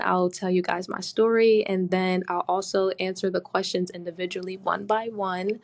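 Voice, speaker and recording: A woman's voice: on the low side, vocal fry. A woman in her late twenties from the US East Coast, hosting a podcast from a hotel room in a casual, chatty way, laughing often and swearing freely. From Delaware, US East Coast. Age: 20s. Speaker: podcast host. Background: construction noise outside.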